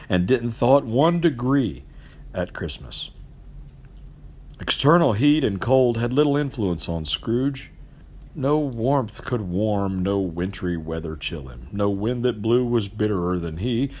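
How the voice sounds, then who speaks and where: A middle-aged man narrates literary fiction as an expressive storyteller, with emphatic, theatrical and deliberate phrasing. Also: fairly low pitch. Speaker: storybook reader.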